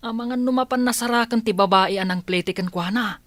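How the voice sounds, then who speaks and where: A woman's voice: fairly high pitch, a little fast, faint music behind, close to an everyday voice. A woman with an Ilocano accent playing a respectful staff member in a radio drama. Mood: gossipy.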